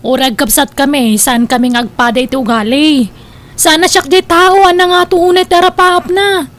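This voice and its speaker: A woman plays a village elder sister with a high voice and an Ilocano accent. She is nagging and skeptical, quick to disapprove, in radio drama dialogue. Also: fairly even intonation, fairly bright tone. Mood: defensive.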